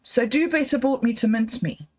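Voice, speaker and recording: A middle-aged woman hosting a livestream, talking in a halting, reflective way with restarts and apologies. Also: on the high side, dull audio. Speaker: livestream host. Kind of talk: rambling personal livestream talk.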